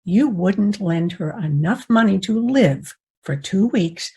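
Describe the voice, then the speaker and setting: An actress playing a sharp-tongued, protective frontier woman with an American accent, husky and blunt, in a Western radio drama. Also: mid-range voice, lively intonation, takes it slowly, heavily acted. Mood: accusing. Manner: blunt, pointed questioning.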